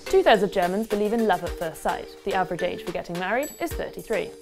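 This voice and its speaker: A woman's voice: mid-range voice, lively intonation, quick pace. Woman host, 30s, wry scripted delivery, voice swoops up and down a lot, music playing under her. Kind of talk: scripted presenting.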